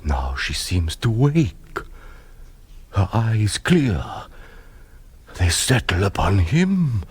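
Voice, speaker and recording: A man's voice: medium pitch, a little mellow, slightly rough, heavily acted. An actor playing a grand, menacing vampire king and doting father in a radio play, theatrical and savouring each phrase. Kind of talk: 1970s radio drama acting.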